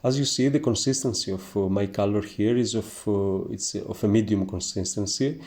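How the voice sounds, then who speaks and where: A man with a thick Greek accent teaching painting, talking gently through his steps with many hesitant fillers. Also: lowish voice, moderate pace. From Greece. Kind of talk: relaxed narrated painting tutorial.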